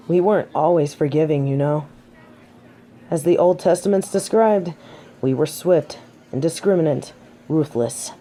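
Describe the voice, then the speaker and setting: A woman's voice: low-pitched, unhurried, long pauses, warm tone. A woman playing a proud, haughty fallen angel of judgement in a scripted audio roleplay, dramatic and defensive. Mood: solemn, disdainful.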